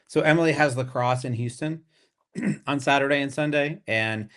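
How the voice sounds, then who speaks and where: A man's voice: mid-range voice, moderate pace, fairly bright tone. A man co-hosting a podcast in casual, joking chat, with many fillers. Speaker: podcast co-host.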